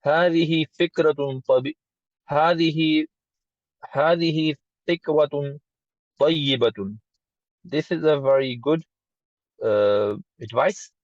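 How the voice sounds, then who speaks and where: A man studying Arabic, reading aloud and translating in a halting, tentative way, often repeating words. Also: a little high, no room sound, lo-fi sound. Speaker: student of Arabic.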